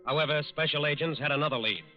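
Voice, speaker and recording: A man's voice: on the high side, fast talker. A man narrating a scripted 1940s radio crime show in a dramatic, emphatic, authoritative manner. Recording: muffled 1940s radio recording. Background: music.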